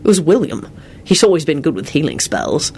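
A woman voicing a blunt adult wizard man who curses freely, in a lowered voice. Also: big pitch swings, a little fast, vocal fry, a little mellow, husky, heavily acted. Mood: cheerful. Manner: blunt, cursing.

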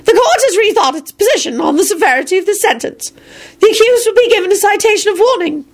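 Woman putting on a shrill venomous court official. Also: lively intonation, fast talker. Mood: shrill, spiteful. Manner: shrieking, spiteful.

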